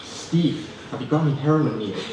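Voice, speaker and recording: A man does deadpan, self-deprecating stand-up with a lively, swinging pitch. He speaks hesitantly, as if reading his own mock prose to a room. The live recording is very echoey and distant, with room noise around him. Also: a little fast. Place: student union comedy stand-up event, indoors. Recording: roomy, noisy live room recording from afar. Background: audience laughter, room noise.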